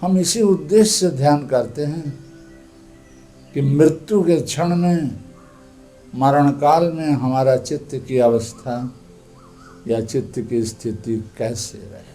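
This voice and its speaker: A man leads a guided meditation as a teacher, speaking in a calm, deliberate, instructive manner. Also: a little high, soft background music.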